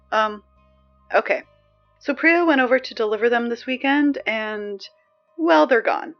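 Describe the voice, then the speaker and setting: Audio drama: woman playing a nervy, sardonic schoolgirl on morning announcements, highish pitch, self-interrupting, slightly dull sound. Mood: awkward, uneasy. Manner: rambling, sardonic asides, hesitant self-interruptions. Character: anxious, wry high school girl reading morning announcements.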